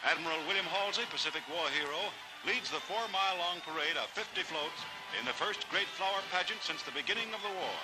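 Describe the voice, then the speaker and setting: A man's voice: high-pitched, fast, rough recording. An American man delivering formal, declamatory scripted narration in the style of a 1940s newsreel. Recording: vintage 1940s newsreel soundtrack, loud music. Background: loud newsreel music.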